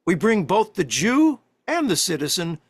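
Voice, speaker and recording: A man's voice: high-pitched, sing-song, unhurried, husky, dry recording, full character voice. A man playing a righteous, combative nineteenth-century Reform rabbi in a play reading, with emphatic, declamatory delivery. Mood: righteous, indignant. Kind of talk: table reading of a historical play, oratory.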